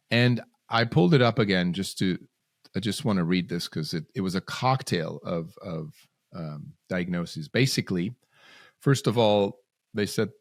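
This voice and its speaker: A man's voice: low-pitched, dry recording, clean studio sound. A man, a podcast guest telling his story in bursts, with sudden rises and restarted phrases. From Switzerland. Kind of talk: casual storytelling interview.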